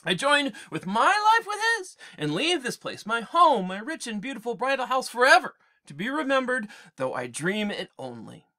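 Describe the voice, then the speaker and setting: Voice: mid-range voice, sing-song, normal speed, few pauses, pushed and bright, voice pushed up. A teacher reading aloud as a proud, grieving queen addressing her suitors, in a declamatory way. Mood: mournful.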